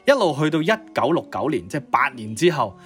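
A man's voice: medium pitch, sing-song, fairly quick, a bit bright. A man with a Cantonese accent telling music history as a radio co-host, in a conversational, piecemeal way.

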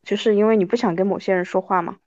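A woman in her thirties hosting a casual chat interview, talking in an animated way. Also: mid-range voice, very fast, dry recording, dull audio. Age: 30s. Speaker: podcast host.

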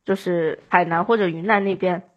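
A woman's voice: lowish voice, fairly even intonation, normal speed, dull audio. A woman from Chongqing in China chatting casually as a podcast guest. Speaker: podcast guest, audio drama producer. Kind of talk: casual chat.

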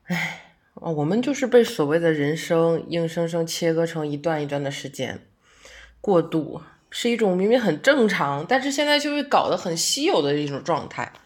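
A woman's voice: low voice, lively intonation, moderate pace. A woman acting a friendly, reflective working woman, a young adult theatre fan, in conversational, naturalistic audio drama dialogue. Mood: enthusiastic, sympathetic.

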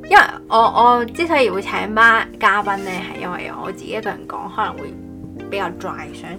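A woman's voice: mid-range voice, brisk, over music. A woman hosting a casual podcast chat in a playful, teasing way. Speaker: podcast host. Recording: clearly noisy background ambience.

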